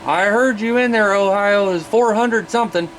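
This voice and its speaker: A high-voiced American man works the CB radio, making casual contacts with other operators. Heavy static and hum surround his voice throughout. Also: moderate pace. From Iowa, United States.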